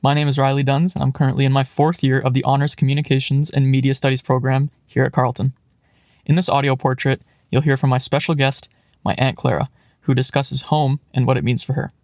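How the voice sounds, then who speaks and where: A man in his twenties, a student producer, reading a scripted introduction to his audio piece in a quick, even way. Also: medium pitch, no room sound, clean studio sound. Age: 20s.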